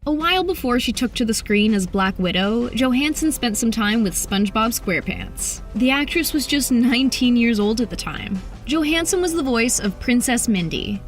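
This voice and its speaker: A woman's voice: fairly high pitch, expressive, moderate pace, hardly any pauses. A woman narrating an entertainment video from a script. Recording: music under the voice. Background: background music.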